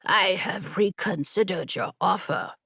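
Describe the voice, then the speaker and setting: A woman voicing a proud male wolf in a storybook fable, grave, formal and deliberate. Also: on the low side, gravelly, a bit bright, slightly rough, no echo, studio quality, full character voice. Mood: solemn resolve.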